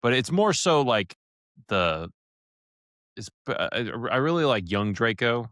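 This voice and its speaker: A man co-hosting a casual podcast, relaxed and opinionated, often restarting his sentences. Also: medium pitch, unhurried, no echo, clean studio sound. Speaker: podcast co-host.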